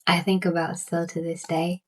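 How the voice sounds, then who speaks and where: A young woman with a Southern drawl talks casually to her viewers, her voice sitting low. She stutters and restarts often, with plenty of ums, and sometimes sinks to a near whisper. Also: flat delivery. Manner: stuttering, halting, many fillers and restarts. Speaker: booktuber. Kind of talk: casual vlog chat.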